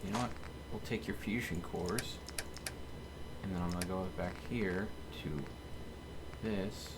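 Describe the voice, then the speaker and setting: A man's voice: low-pitched, steady tone, measured pace. A man giving casual live commentary as he streams a video game. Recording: faint background noise.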